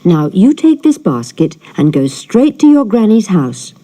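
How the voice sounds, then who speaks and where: Woman as a firm, caring storybook mother, lively pitch. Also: unhurried. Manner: motherly, instructing. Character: caring, firm mother instructing her little daughter.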